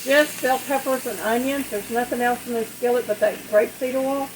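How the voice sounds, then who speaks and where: A woman chatting casually and in a folksy, unhurried way as she demonstrates home cooking. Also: a little high, steady tone. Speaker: home cook hosting a recipe video. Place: home kitchen at the stove. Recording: clear background kitchen noise, frying sizzle. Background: sizzling skillet, stirring.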